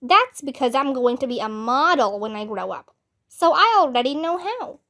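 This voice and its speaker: A woman acts out a little schoolgirl from a storybook, very pleased with herself. The voice is high and showy, with a boastful, preening manner. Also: big pitch swings, moderate pace, a bit bright, heavily acted. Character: vain, showy little girl, best friend.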